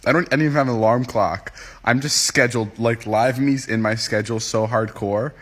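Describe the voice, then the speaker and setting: A man's voice: mid-range voice, fairly quick, fairly bright tone. Groggy young man rambling on a livestream. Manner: rambling, jokey, reads out and answers comments. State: groggy, just woke up. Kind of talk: casual livestream chat to viewers. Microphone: phone livestream app.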